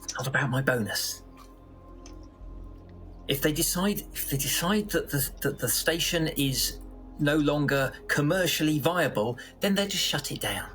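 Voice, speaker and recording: A man playing a cautious, flustered space station manager who speaks haltingly and repeats himself in a science fiction drama. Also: on the high side, expressive, fairly bright tone, soft background music. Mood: defensive. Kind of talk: improvised conversational sci-fi drama.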